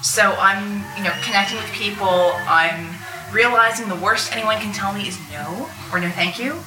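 A woman talks casually as a guest on a live panel, with an animated storytelling manner. Her voice sits at a middle pitch, a little away from the mic with clear echo around it. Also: moderate pace, over music. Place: outdoor patio at a convention. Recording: roomy, distant outdoor live panel recording.

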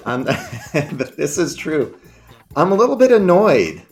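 A man with a higher-pitched, slightly nasal voice, a guest on a podcast who tells a grumbling story in casual chat. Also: sing-song, takes it slowly, over music.

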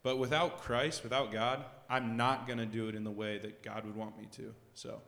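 A man's voice: mid-range voice, level tone, normal speed, vocal fry, a bit bright. A man, a basketball coach, sharing a personal testimony in an earnest, reflective way. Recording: roomy hall with long reverb.

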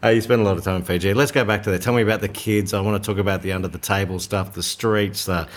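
Deep-voiced male podcast host. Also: steady tone, fast. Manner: chatty, laughs easily, lots of fillers. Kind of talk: casual interview.

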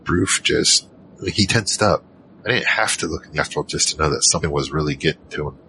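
Guy acting a really low bright voiced adventurer telling his story, wry and rambly, audio drama. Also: brisk, vocal fry, slight background noise, full character voice, rough recording. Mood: wry storytelling. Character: wary, rambling male team member recounting a mission. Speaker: narrator and lead character. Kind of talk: first-person audio drama narration.

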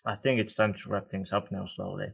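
A man whose first language is Estonian, hosting a podcast in casual interview chat, relaxed and laughing easily. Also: on the low side, fairly quick, vocal fry, slightly rough. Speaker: podcast host. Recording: dull lo-fi audio.

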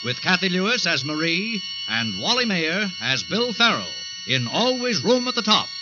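Male vintage radio announcer, American accent, highly animated intonation, dramatic program introduction, muffled old recording. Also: normal speed. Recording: dull old radio recording, loud music.

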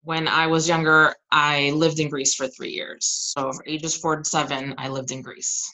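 A woman's voice: deep voice, little pitch movement, normal speed, sharp tone, poor audio. A woman from Southern California and Arizona, a kindergarten teacher, talking in a friendly, chatty way in a casual podcast interview and laughing easily.

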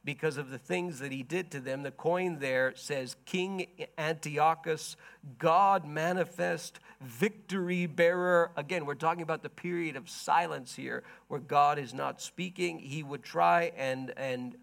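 Hoarse male preacher, gravelly and slightly high, measured storytelling delivery for a Bible lesson. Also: a bit bright, dry recording. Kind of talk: sermon-style Bible history lesson.

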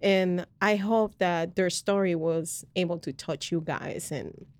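A woman with a slightly low voice tells her own story as a podcast host. She talks earnestly and loosely, full of fillers and restarts. The recording is close and dry. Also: fairly even intonation, slow pace, darkish tone, no echo. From Colombia. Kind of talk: personal faith testimony in casual chat.

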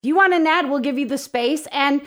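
High-voiced, upbeat American woman. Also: fairly quick. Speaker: chamber of commerce president, interview guest. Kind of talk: casual promotional interview.

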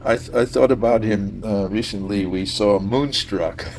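An older American man from New Jersey hosting a casual, rambling conversation, often halting to search for words. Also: fairly low pitch, creaky, poor audio. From New Jersey, USA. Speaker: podcast host and sound designer.

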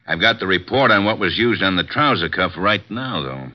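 A terse, gruff police inspector with a very deep voice that rises and falls widely. The sound is dull and muffled, like a vintage radio play. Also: male voice, expressive, normal speed. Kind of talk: 1950s radio drama acting. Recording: dull old radio recording.

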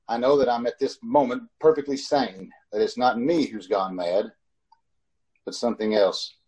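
Haunted male driver, thirties, played by a man, dark husky voice, anxious old-time radio drama delivery. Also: mid-range voice, natural voice, rough recording. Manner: urgent, dramatic first-person narration.

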